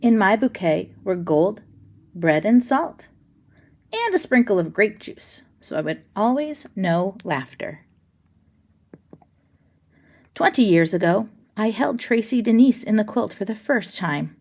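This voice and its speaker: A woman reads a children's picture book aloud at a middle pitch. She phrases each line deliberately and with expression, in the style of a storybook read-aloud. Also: very animated, a little mellow.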